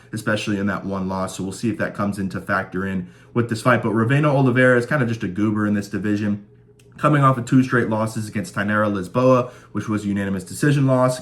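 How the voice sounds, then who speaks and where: Young man, low-pitched, slightly congested, casual joking sports host. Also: fairly bright tone. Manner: laid-back, joking, rambling with fillers. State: slightly stuffed up from a cold. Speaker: fight prediction host. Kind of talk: casual sports prediction chat.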